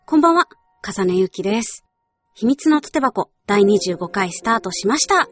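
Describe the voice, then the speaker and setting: A woman's voice: medium pitch, lively intonation, moderate pace. A woman hosting a radio show, talking politely in a scripted style with chatty, casual asides. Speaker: radio show host and voice actress. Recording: music behind the voice. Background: background music.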